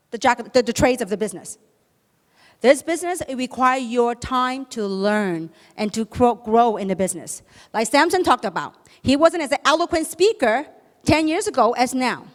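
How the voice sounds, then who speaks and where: Female seminar speaker, Chinese accent, high voice, emphatic question-and-answer delivery, reverberant hall. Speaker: motivational business speaker. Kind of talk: motivational sales seminar talk to an audience. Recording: echoey hall, long reverb.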